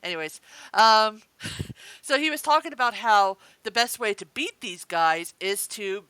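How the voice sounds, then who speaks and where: A woman's voice: medium pitch, lively intonation, measured pace, sharp tone, smooth, dry recording, poor audio. A woman co-hosting a review podcast, explaining plot points in casual chat. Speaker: podcast co-host.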